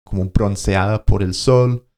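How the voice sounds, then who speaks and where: A man's voice: on the low side, steady tone, measured pace, darkish tone. A man co-hosting a podcast, telling a story in casual conversation, hesitating and searching for words as he goes. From Colombia. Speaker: podcast co-host.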